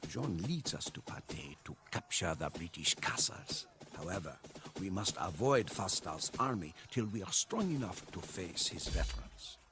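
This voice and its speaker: An actor narrating as a weary, devoted medieval French soldier, solemn and storyteller-like, in a scripted game cutscene. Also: male voice, low-pitched, animated, normal speed, background noise. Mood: solemn, reflective. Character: weary, devoted French soldier chronicling Joan of Arc's war. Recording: music behind the voice.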